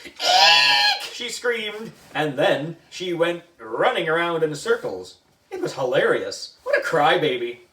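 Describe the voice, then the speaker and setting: A man reading a children's story aloud in an animated narrating style. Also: a little high, measured pace. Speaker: book club reader. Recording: echoey room, distant mic.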